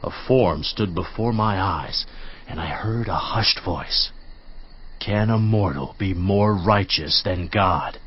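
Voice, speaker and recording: A man playing a stern, admonishing counselor from scripture, speaking in an emphatic, rhetorical preaching manner with a husky voice. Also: low voice, slow, heavily acted. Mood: hushed awe, then grave warning. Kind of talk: dramatized scripture reading, theatrical. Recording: slightly muffled audio.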